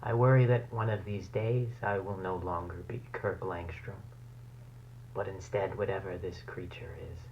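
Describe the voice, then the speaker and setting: Horror tape diary: male actor as anxious scientist mutating into bat creature. Low, brooding, halting, clinical; noisy, humming recording. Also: fairly even intonation, dull audio, close to an everyday voice. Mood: despairing. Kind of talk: horror audio diary, analog horror acting.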